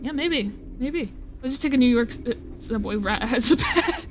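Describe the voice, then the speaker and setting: A woman's voice: on the high side, animated, moderate pace, over music. Rambling, swearing young woman streamer. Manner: rambling, swearing, with self-interruptions and laughs. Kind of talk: casual live-stream chat.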